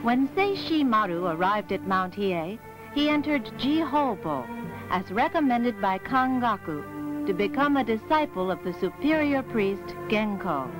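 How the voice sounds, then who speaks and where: A woman narrating a scripted historical story in a measured, formal manner. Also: medium pitch, big pitch swings, poor audio. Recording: music under the narration. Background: music.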